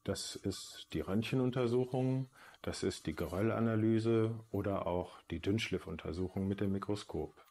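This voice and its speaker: A man's voice: fairly low pitch, normal speed, few pauses, warm tone. A man giving an expert interview in an explanatory, matter-of-fact way. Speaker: forensic materials expert.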